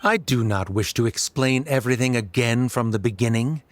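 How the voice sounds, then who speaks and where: An actor playing a cold, condescending academic official in a game who speaks formally and dismissively. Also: male voice, mid-range voice, normal speed, lightly acted. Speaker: official delivering an order.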